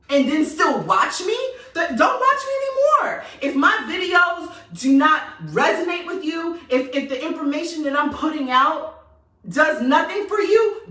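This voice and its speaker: A sassy woman with a New York accent speaks in a high, bright voice that swoops all over the place. She rants passionately and hammers her points home. Her voice sounds echoey and a little distant from the mic. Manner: animated, sassy, emphatic repetition. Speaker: vlogger. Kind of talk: passionate direct-to-camera rant.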